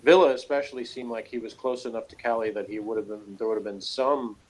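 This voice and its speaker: A man's voice: lowish voice, steady tone, quick pace, low-quality recording. Man in rambling, analytical casual discussion. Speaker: co-host reviewing a TV series.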